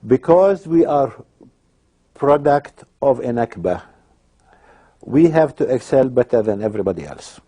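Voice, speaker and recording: A man's voice: mid-range voice, animated, a little slow, pauses often, dark tone. A man giving personal testimony in an emphatic manner. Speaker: interviewee telling his life story.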